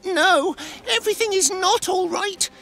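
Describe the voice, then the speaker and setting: A woman's voice: very high-pitched, unhurried, creaky, sharp tone, heavily acted. A woman voicing a flustered, earnest little talking boat in a children's cartoon, in a fretful manner. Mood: worried.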